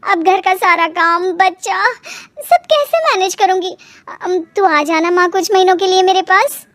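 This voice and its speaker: A woman voicing an anxious, put-upon young daughter-in-law in an animated moral story, plaintive and fretful. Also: extremely high pitch, a little slow, dry recording. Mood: pleading.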